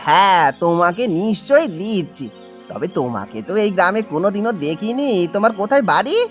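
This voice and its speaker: A man voicing a humble, earnest village biryani seller and devoted husband in a cartoon, with expressive delivery. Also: sits high, fairly quick, faint music behind. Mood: welcoming. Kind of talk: Bengali cartoon voice acting.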